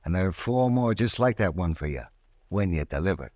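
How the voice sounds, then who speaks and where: A menacing gangster, with a very deep voice and slangy, cold tough-guy talk. The recording is close and dry, in a style of old radio drama. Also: lively intonation, fairly quick, darkish tone, no room sound. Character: menacing male gangster bribing a clerk. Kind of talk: 1940s radio acting.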